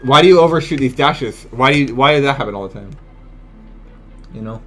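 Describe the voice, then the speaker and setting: A man's voice: medium pitch, lively intonation, brisk. A man giving casual, incredulous live commentary as a video game streamer, exclaiming and swearing freely.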